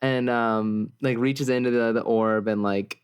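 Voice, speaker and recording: A tabletop roleplay player casually narrating a character, halting and emphatic, often saying "like". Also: medium pitch, steady tone, smooth.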